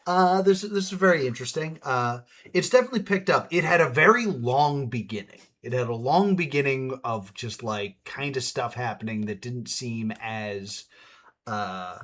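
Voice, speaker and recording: A man hosting a stream with casual commentary, halting as he thinks aloud. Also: mid-range voice, expressive, fairly bright tone. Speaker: streamer, host.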